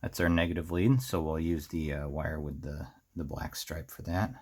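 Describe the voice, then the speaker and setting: A man talking through hands-on work in an unscripted tutorial, musing out loud in fragments as the host. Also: extremely low pitch, moderate pace. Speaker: host of a DIY circuit bending video series. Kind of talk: unscripted hands-on tutorial commentary while working.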